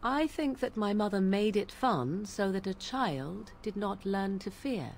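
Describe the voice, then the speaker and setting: A woman's voice: medium pitch, animated, slight background noise. Aloof, sardonic witch, lilting delivery. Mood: grave, stern. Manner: archaic, formal, measured storyteller's diction. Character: aloof, sardonic young witch of the wilds, raised an outcast apostate. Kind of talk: dramatic video game voice acting.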